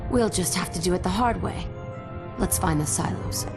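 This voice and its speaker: An actress playing a commanding, earnest warrior superheroine in a video game, firm, direct and composed. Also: female voice, lowish voice, moderate pace, loud music behind the voice, natural voice. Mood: resolute. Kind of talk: video game cutscene acting.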